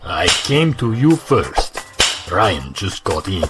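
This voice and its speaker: A man's voice: deep voice, sing-song, takes it slowly, heavy background noise, full character voice. A man playing an inquisitive, loyal longtime companion of a fighter in an audio drama. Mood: curious, probing.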